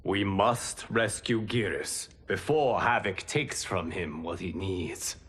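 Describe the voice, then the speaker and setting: An actor playing a calm, wise guardian god in a video game, speaking formally with measured authority. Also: male voice, deep voice, slightly rough, full character voice. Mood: grave, measured. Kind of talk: video game cutscene acting.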